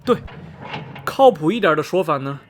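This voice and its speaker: A man acting in a radio drama as a chatty, superstitious small-town local, telling rumours in a folksy, animated way full of interjections. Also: a little high, normal speed, a little hiss or hum, lightly acted. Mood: gossipy. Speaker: actor in an amateur student radio drama.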